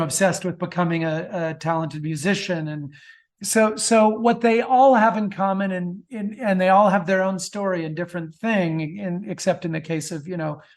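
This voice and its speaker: A man answering as a guest author in a conversational interview, often repeating words as he thinks aloud. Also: high-pitched.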